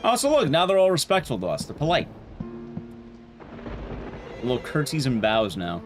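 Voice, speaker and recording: A man talks as a livestream host in a casual, slangy way. His pitch moves around a lot, swinging from emphatic points to teasing hints. Music plays softly behind his voice. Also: wildly swooping pitch, moderate pace. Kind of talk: casual game stream commentary, chatting with viewers.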